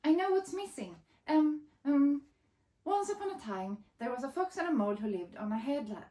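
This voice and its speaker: An excitable, carefree little mole from a picture book, played by a woman. The voice jumps up high and blurts things out in a flustered, self-centred way. The room around it echoes. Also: moderate pace. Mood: urgent, flustered.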